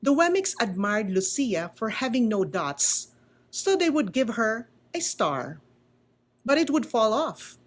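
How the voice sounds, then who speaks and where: A woman reading a children's story aloud in a deliberate, expressive way, like a school storytime. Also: fairly high pitch. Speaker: school principal reading aloud, religious sister. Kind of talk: scripted children's storybook narration.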